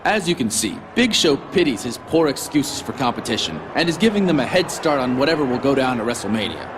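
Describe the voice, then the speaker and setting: Smug, boastful wrestling sidekick played by a male actor, mid-pitched and mocking, video game promo over noisy ambience. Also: very animated, brisk, close to an everyday voice. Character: smug male wrestling sidekick hyping his giant friend.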